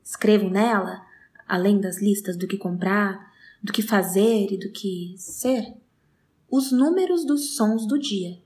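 Audio drama monologue: actress as solitary, meticulous, obsessive woman, wryly enthusiastic, medium pitch, expressive and confiding. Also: slow pace, natural voice. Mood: precise, contented.

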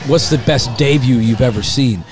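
A man's voice: mid-range voice, normal speed, music in the background. A man co-hosting a casual football podcast, talking bluntly and making dry asides. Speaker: podcast co-host. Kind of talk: casual sports chat.

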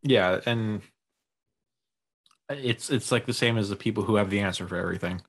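A man's voice: fairly low pitch, moderate pace. A man in a casual conversation, easygoing and laid-back as he tells a story. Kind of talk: casual chat.

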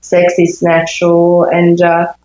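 A woman's voice: lowish voice, completely flat delivery, slow pace, darkish tone. A woman in her fifties, originally from Sweden, speaks as an interview guest. She talks thoughtfully, searching for words with frequent fillers. The recording is roomy and somewhat muffled. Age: 50s. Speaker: intimacy coach, guest. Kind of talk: interview conversation. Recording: echoey, dull lo-fi sound.

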